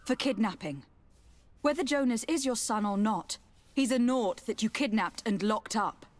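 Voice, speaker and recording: A woman's voice: fairly high pitch, animated, lightly acted. Composed, commanding noblewoman envoy played by an actress. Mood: stern, threatening. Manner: formal, measured, assertive. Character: composed, stern young noblewoman envoy, diplomatic yet commanding. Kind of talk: video game dialogue acting.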